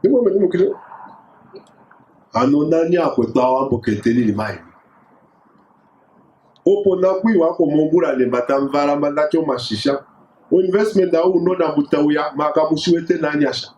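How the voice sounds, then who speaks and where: A man's voice: fairly high pitch, a little fast, long pauses, darkish tone. Man addressing a gathering, lo-fi echo. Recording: echoey, dull lo-fi sound.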